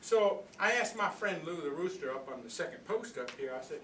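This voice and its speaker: A man's voice: fairly high pitch, expressive, brisk, fairly bright tone. An older man playing a proud, philosophical old rooster in a folksy, rambling comic monologue. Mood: proud, reflective. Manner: folksy, rambling, conversational storytelling with repeated phrases. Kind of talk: comic monologue performed live for an audience. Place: indoor workshop room before a group. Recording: echoey room, distant mic, background noise.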